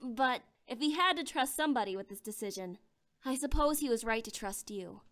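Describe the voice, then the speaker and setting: High-voiced, reserved young student. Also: female voice, lively intonation, brisk, a bit bright. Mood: earnest, supportive. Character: reserved, rational young female student, awkwardly caring. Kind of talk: visual novel voice acting.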